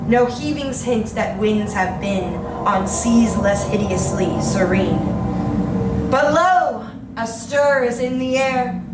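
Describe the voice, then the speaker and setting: Slow, solemn woman reciting poetry. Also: fairly high pitch, expressive. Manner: solemn, theatrical, deliberate. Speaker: poetry reader. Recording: roomy, distant mic, loud background noise. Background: loud ambient noise.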